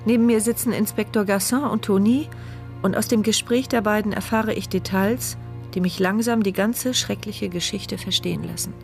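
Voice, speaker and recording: A woman's voice: medium pitch, fairly even intonation, normal speed, creaky, lightly acted. An actress playing a frightened, injured young woman who narrates her inner thoughts in a crime radio drama. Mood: reflective. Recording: music playing behind the voice.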